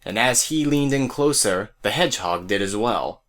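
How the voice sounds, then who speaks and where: Low-pitched male story narrator. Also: lively intonation, moderate pace, fairly bright tone. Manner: storyteller addressing listeners directly. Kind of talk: scripted audio drama narration.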